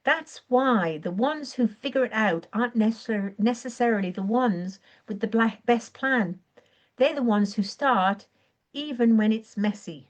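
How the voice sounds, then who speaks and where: A woman coaching on a live stream, her voice croaky and her throat often clearing, talking in an earnest, encouraging way and now and then correcting herself. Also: on the high side, a little slow. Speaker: online business coach. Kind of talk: live-streamed training talk.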